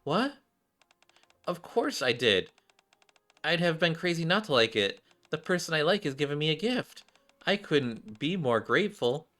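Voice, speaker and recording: A man's voice: on the high side, sing-song, a little slow, fairly bright tone, pitched above the performer's own voice. A man voicing a shy, earnest schoolgirl who loves fashion, in an anxious, hesitant game-dialogue read. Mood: anxious, flustered.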